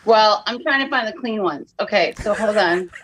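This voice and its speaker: A woman's voice: mid-range voice, normal speed, fairly bright tone, a little hiss or hum, rough recording. A woman comedian chatting as a podcast guest, telling stories in a dramatic, self-mocking way. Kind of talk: animated comic storytelling and chat.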